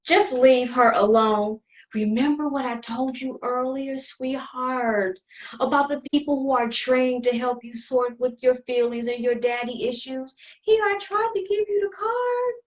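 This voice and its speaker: Actress for condescending, polished sister in a stage dramedy; highish female voice, sarcastic scolding, slightly distant mic. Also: measured pace. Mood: stern, exasperated. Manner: condescending, sarcastic, enunciated scolding with sugary endearments. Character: haughty, sharp-tongued older twin sister, polished and condescending. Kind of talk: dramatic play reading.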